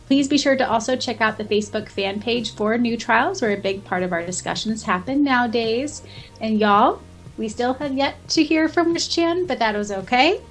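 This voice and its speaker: A woman's voice: on the high side, moderate pace, rough recording. A woman podcast host giving an informal outro announcement to listeners. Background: music.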